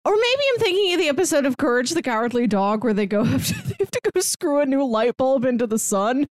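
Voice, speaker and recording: High-pitched, lively woman chatting wryly. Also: quick pace, no echo, clean studio sound. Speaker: podcast co-host. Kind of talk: casual chat.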